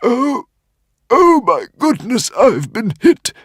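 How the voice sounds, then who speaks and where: A tiny, fretful dragon, as a male narrator puts him on, squeaking high and swooping wildly up and down in pitch. His pleas come out drawn-out and urgent, always on the edge of panic. The sound is dry and close, with a slightly breathy edge. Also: expressive, a little mellow, dry recording, exaggerated voice. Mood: panicked. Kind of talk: audiobook character voice.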